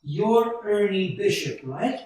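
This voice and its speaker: A man's voice: high-pitched, expressive, slow pace, darkish tone. A man playing a desperate, bewildered American family man in a radio play, agitated, stammering and insistent. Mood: confused, pleading. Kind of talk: 1940s-style radio play acting. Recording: very echoey room, distant microphone.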